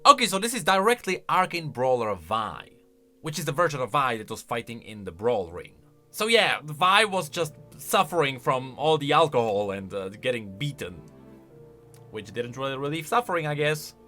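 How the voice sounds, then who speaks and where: A man's voice: mid-range voice, big pitch swings, normal speed, pushed and bright, faint music behind. A man giving chatty, informal, opinionated reaction commentary on video game lore.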